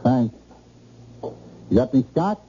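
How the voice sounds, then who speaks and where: An obsessed American married man in a vintage radio play, nervous and repetitive. His dark, low-leaning voice sweeps through pitches on a muffled old recording. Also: very animated, a little hiss or hum. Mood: alarmed. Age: middle-aged. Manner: halting, repetitive, intense. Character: obsessed, nervous, helplessly infatuated middle-aged married man. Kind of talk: old-time radio drama acting.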